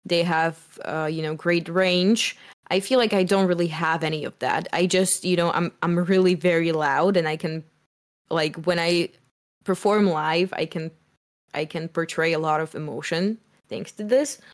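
A young woman talks loudly and freely, her strong voice sitting low for a woman. She rambles a little with fillers as she tells stories about performing. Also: moderate pace. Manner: loud, strong voice. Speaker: musician guest. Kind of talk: casual interview chat.

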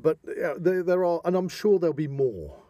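A man's voice: fairly high pitch, animated, moderate pace, mellow, no echo, studio quality. A man co-hosting a podcast, chatting casually about films like an enthusiastic fan, often trailing into half-finished sentences. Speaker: podcast co-host. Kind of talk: casual film discussion.

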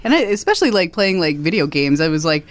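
A woman in her thirties in a casual group chat, lively and self-mocking, laughing often. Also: on the low side, fast talker. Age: 30s. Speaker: panelist.

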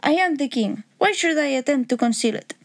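Woman reading as a pompous, grave nobleman, fairly high voice. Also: lively intonation, brisk, creaky. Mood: agitated. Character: huge proud Bohemian nobleman, secretly a king, agitated, formal.

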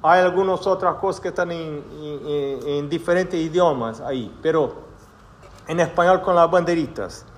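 A middle-aged man from Brazil preaching a sermon in a church, with a Brazilian Portuguese accent and a measured manner broken by hesitant fillers. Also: on the high side. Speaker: pastor.

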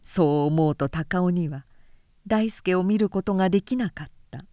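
A woman's voice: lowish voice, moderate pace, warm tone, no echo, studio quality. A woman reading literary fiction aloud as a narrator, in a composed, carefully paced storytelling manner.

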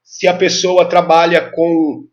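A Brazilian man in his fifties with a notably high voice speaks as an expert guest. He explains in a teacherly way and punctuates his points with little check-in questions. The audio is somewhat dull and lo-fi. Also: level tone, takes it slowly. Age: 50s. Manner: didactic, with frequent tag questions seeking agreement. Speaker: interview guest, health informatics specialist. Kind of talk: explanatory interview talk.

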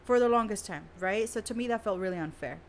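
A woman's voice: mid-range voice, fast, slight background noise. Candid young woman, native Spanish speaker. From San Diego, California. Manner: candid, frank, swears casually. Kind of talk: casual conversation.

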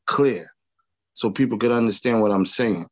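A man's voice: on the low side, normal speed. A man from Long Island, talking loosely as a rapper guest in an interview, full of casual fillers. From Long Island, New York. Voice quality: raspy. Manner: loose and chatty, full of "you know what I mean" fillers. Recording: dull lo-fi sound.

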